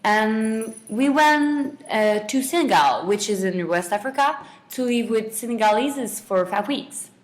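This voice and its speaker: A woman's voice: fairly high pitch, expressive, unhurried, hardly any pauses. A young adult woman, a student guest giving semi-prepared answers in a radio interview.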